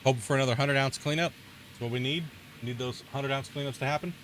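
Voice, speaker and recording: A man's voice: mid-range voice, quick pace, fairly bright tone, slight background noise. A man streaming a mining game, chatting loosely and rambling, peppering his talk with "like" and "dude", and itchy from a sunburn. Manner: rambling, laid-back, heavy use of "like" and "dude". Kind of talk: casual gameplay commentary.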